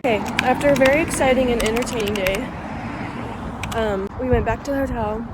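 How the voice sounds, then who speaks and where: A woman's voice: a little high, a little fast. A young woman with a head cold, chatting casually to her camera as a flight attendant on a layover. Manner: chatty, giggly, rambling. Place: outdoors on busy city streets. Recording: loud crowd and street noise.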